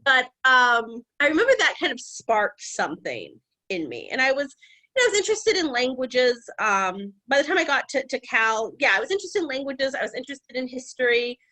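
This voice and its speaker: A woman giving a conversational public lecture on ancient history, animated and direct, with occasional restarts. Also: high voice, brisk. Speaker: guest lecturer.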